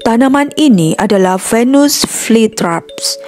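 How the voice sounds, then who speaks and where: A determined old professor and grandfather from a children's storybook, voiced by a woman. The voice is on the high side. Music plays underneath. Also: expressive, takes it slowly, darkish tone. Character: elderly grandfather professor, determined pest-fighter. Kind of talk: storybook character voice. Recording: music behind the voice.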